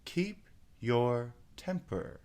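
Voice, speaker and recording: Storybook caterpillar, performed by a man: stern, condescending, very slow, terse, creaky. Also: medium pitch, very animated, mellow, voice pushed up, full character voice. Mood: curt. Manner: slow, drawn-out, terse questions. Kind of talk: storybook character voice.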